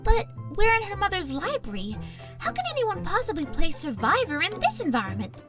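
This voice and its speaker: A woman voicing a prim, polite, cheerful female pony in an animated drama. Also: very high voice, fairly quick, music in the background, heavily acted. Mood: doubtful. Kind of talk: animated audio drama acting.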